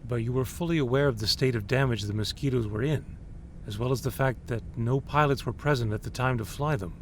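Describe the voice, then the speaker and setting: An actor playing a cold male superior, calmly and accusingly questioning a subordinate. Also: medium pitch, a little hiss or hum, close to an everyday voice. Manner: measured, accusatory. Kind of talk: audio drama acting.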